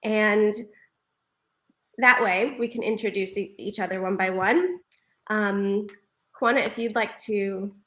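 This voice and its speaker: A woman's voice: medium pitch, slow pace, pauses often, a bit bright. A woman from New York City moderating a live online panel over a video call, upbeat and laughing often. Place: home living room.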